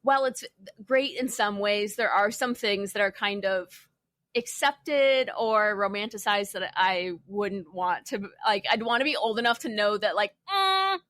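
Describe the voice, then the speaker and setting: A woman's voice: medium pitch, lively intonation, unhurried, fairly bright tone, no room sound. A woman co-hosting a podcast, talking informally as if thinking aloud. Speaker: podcast co-host. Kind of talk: casual podcast chat.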